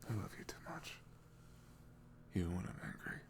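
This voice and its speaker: A man playing an emotional, remorseful ex-boyfriend in an intimate ASMR roleplay, speaking softly and haltingly as he pleads and confesses. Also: lightly acted. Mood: whispered pleading. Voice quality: soft, breathy, low murmur with creak.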